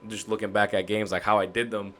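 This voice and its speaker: A young American man with a raspy voice, chatting casually as an interview host. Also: on the low side, flat delivery, fast talker, pushed and bright. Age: young adult. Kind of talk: casual chat.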